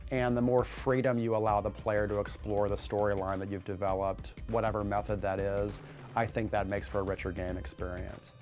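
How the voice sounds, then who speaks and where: Lowish, even-toned man in an interview. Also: brisk, faint music behind. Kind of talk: conversational interview talk.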